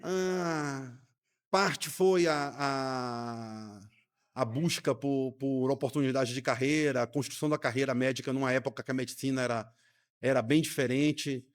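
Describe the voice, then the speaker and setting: A man's voice: medium pitch, a little slow, smooth. A man, a doctor speaking earnestly and reflectively as an interview guest in a studio. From Rio de Janeiro, Brazil. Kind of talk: casual interview conversation.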